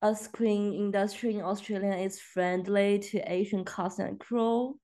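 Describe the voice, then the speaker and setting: A woman's voice: mid-range voice, fairly even intonation, unhurried, warm tone. Woman interviewer asking questions. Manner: short acknowledgements, probing questions.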